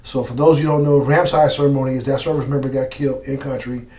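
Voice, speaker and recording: A man's voice: medium pitch, flat delivery, fast, mellow, distant mic. Man, easygoing, slangy storyteller, lots of fillers, roomy echo, a bit off mic. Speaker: former Green Beret guest. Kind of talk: casual storytelling interview.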